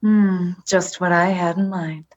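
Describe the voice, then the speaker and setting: A woman playing a flirtatious, scheming femme fatale in a noir parody radio play, slow and teasing. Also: fairly low pitch, fairly even intonation, highs cut off, natural voice. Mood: seductive.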